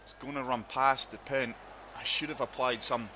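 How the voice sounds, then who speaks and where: A man with a sore throat, hosting his gaming channel and narrating a golf game in calm, steady play-by-play. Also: mid-range voice, normal speed, slight background noise. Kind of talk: casual gameplay commentary.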